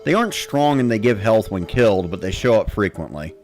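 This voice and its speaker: A man's voice: fairly low pitch, animated, brisk, a little mellow, soft background music. A man reading a first-person story as a dramatic narrator, in the style of a gamer recounting play.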